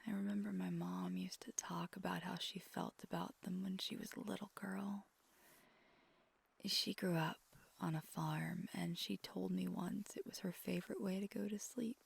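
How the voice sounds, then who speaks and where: A woman playing an affectionate, gentle vampire girlfriend in an ASMR roleplay, speaking in a soft, breathy, intimate whisper. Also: mid-range voice, full character voice. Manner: slow, hushed, intimate.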